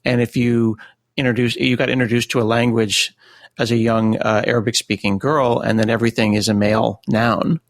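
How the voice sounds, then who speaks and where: A man's voice: mid-range voice, little pitch movement, a little fast, no echo, clean studio sound. An American man hosting a casual interview, asking and reflecting in an inquisitive, conversational way. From United States. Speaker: podcast host, interviewer.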